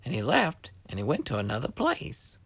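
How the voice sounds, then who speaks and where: Man, children's Bible narrator, expressive scripted reading, creaky voice, dry close sound. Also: mid-range voice, moderate pace, no room sound.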